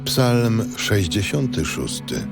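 A man's voice: on the low side, sing-song, slow pace, gravelly. A man solemnly announcing the titles of readings. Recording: music behind the voice.

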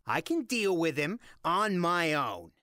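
A woman's voice: on the low side, sing-song, takes it slowly, pushed and bright, dry recording, clean studio sound, exaggerated voice. A woman playing a sulky, defensive, slightly dim henchman in a crime comedy audio drama.

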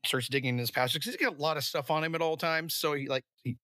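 A man playing a tabletop role-playing game, casually improvising what his character does in a halting, conversational way. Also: on the high side, pushed and bright, no room sound, studio quality.

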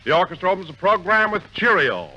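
A man announcing and selling a product in a 1940s radio comedy, hearty and smooth, recorded in a theater. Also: big pitch swings, quick pace, very noisy. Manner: hearty, smooth salesman pitch. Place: theater stage with live audience.

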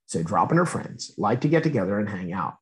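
A man's voice: lowish voice, sing-song, a little fast, breathy edge. A man reading a children's picture book aloud expressively, addressing young listeners with questions and asides. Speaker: read-aloud storyteller.

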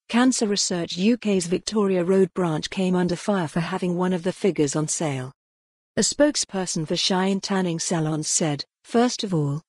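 A synthetic voice reading an online news article aloud, steady and even, saying page links and headings word for word. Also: medium pitch, breathy edge, no room sound, studio quality.

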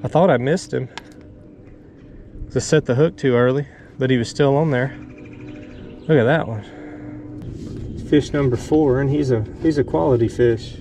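Man, middle pitch, casual fishing commentary, small boat outdoors, action camera, clear background noise. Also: moderate pace, pauses often. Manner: relaxed, talks to himself while fighting fish. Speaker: fishing vlogger. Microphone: head-mounted action camera.

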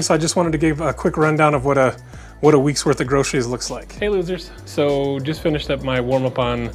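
A man speaks as a vlogger with an ordinary mid-pitched voice. He talks casually and directly to his audience in a plain, conversational way. Music plays faintly behind him. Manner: plain-spoken, conversational, addresses viewers directly. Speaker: vlogger on a weight loss journey. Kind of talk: casual vlog talk to camera.

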